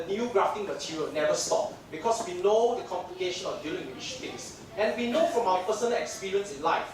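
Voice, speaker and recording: A man from Singapore with a Singaporean English accent giving a conference lecture on dentistry, chatty and joking with lots of fillers, jet lagged. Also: high voice. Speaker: dental lecturer. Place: large lecture hall. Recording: echoey hall mic from far off, audience noise.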